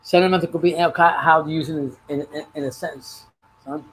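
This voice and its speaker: A man's voice: on the high side, steady tone, quick pace, heavy background noise. A middle-aged man in a casual chat, playfully quizzing others and dropping in Spanish phrases. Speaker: guest, host's father.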